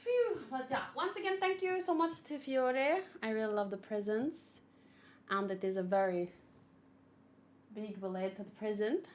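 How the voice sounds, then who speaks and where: A woman's voice: a little high, a little slow, a little mellow. A young woman streamer chatting casually and rambling through a game reaction, with playful little exclamations. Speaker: gaming streamer. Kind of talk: casual livestream chat and reaction.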